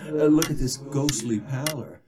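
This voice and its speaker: An actor playing a jovial, talkative ghost in an audio drama, chatty and teasing, with a sputtering, theatrical delivery. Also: male voice, medium pitch, moderate pace, heavy background noise, natural voice. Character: jovial talkative male ghost of dead football pal.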